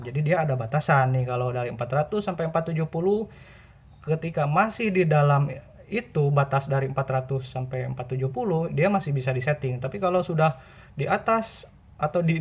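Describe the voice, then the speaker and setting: Higher-pitched man explaining informally. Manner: explanatory, repeats and rephrases points. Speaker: presenter giving buying tips.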